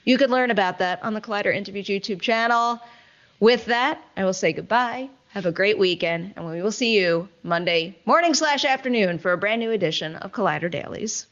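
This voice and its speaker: A woman's voice: mid-range voice, brisk, fairly bright tone, muffled recording. A woman hosting an entertainment show, chatting casually about movies in an emphatic, enthusiastic way and often repeating herself for stress. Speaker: entertainment news show host. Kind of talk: casual movie review chat.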